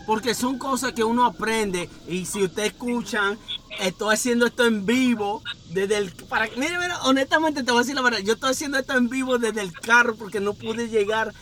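A high-pitched man with an Ecuadorian Spanish accent, touched with Dominican turns, talks from inside his car. He chats in a meandering way as a podcast host, with faint street sounds around him. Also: a little fast, a bit bright, slight background noise. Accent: Ecuadorian Spanish with some Dominican touches. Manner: chatty, meandering storyteller. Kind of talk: casual interview chat.

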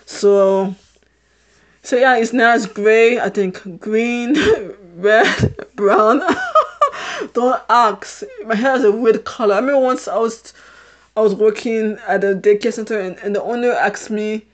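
A middle-aged woman from Haiti hosts her own podcast, with an accent that sounds British rather than Haitian. Her voice sits a little high. She rambles, circles back over the same points and laughs often. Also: slow pace. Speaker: podcast host. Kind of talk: casual solo podcast monologue.

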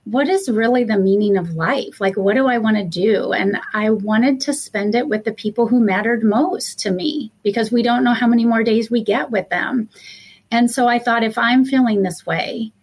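Earnest higher-voiced woman guest, fifties. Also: moderate pace, husky, poor audio. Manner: earnest, heartfelt, frequent "you know". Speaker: guest, mom coach. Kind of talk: casual interview talk.

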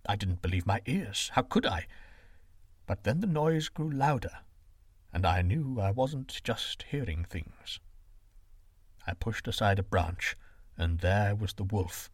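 A man voicing a kindly older shepherd father who speaks low, soft and measured. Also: slightly rough, no room sound.

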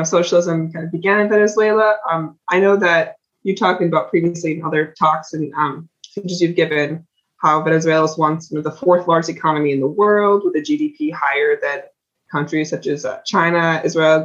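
A woman's voice: deep voice, steady tone, normal speed. Young woman host on dull lo-fi audio. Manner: casual, hedging with fillers. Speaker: podcast host. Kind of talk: podcast interview.

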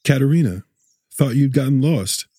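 A man playing a mellow, jovial fishmonger. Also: medium pitch, expressive, normal speed, husky, no echo, studio quality. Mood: teasing. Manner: chummy, bantering. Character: jovial stout fishmonger, friendly and teasing. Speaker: actor in a radio drama. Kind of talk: radio drama acting.

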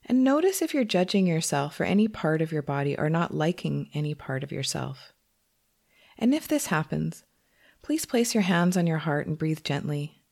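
Low-pitched woman guiding a meditation. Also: sing-song, vocal fry. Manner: gentle, unhurried, with long pauses. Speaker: meditation teacher. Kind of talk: guided meditation.

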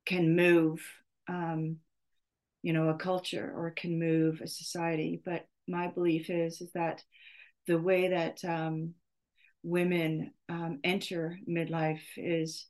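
A middle-aged woman gives an unscripted podcast introduction. Her voice sits slightly low and stays quite flat. She speaks slowly and haltingly, often pausing to find the next word. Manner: hesitant, halting, searching for words. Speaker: podcast host. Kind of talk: off-the-cuff solo podcast introduction.

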